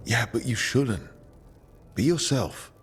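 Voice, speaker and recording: An actor playing an easygoing, supportive adventurer hero in a video game, casual and reassuring with dry humour. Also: male voice, mid-range voice, lively intonation, takes it slowly, faint music behind. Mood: lightly teasing. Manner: casual, reassuring, lightly teasing. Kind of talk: video game dialogue acting.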